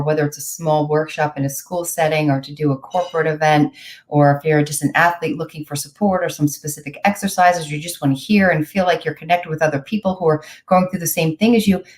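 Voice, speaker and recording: A woman's voice: sits low, a little mellow. A woman hosting a video call interview, enthusiastic and supportive toward her guest. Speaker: host. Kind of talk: casual interview.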